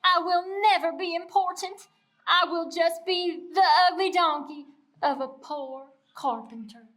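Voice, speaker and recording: Guy doing a high wistful old girl donkey, sorry for herself, storybook puppet show style. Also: fairly even intonation, measured pace, pushed and bright, exaggerated voice, poor audio. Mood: sad, self-pitying. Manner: plaintive, earnest. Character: wistful, self-pitying aging female donkey. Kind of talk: children's storybook character voice.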